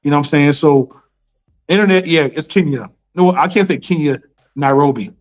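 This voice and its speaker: A man's voice: on the high side, moderate pace. Laid-back American man, slangy and repetitive. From United States. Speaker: interviewee. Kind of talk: casual conversational interview. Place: Nairobi. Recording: dull lo-fi sound with faint music.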